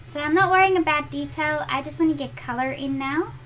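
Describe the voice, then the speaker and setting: A woman's voice: high voice, fairly quick, fairly bright tone, faint music behind. A middle-aged woman with a South African accent, chatting to viewers and reading their comments aloud as she draws in her home studio. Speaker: art channel host. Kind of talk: live-stream drawing chat with viewers.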